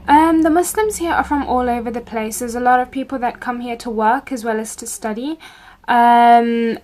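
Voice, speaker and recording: A young woman, recording a casual video account at home, talking in a measured way with frequent ums. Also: a little high, darkish tone. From England. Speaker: contributor recording a video report. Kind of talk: casual first-person account. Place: home room with bookshelves.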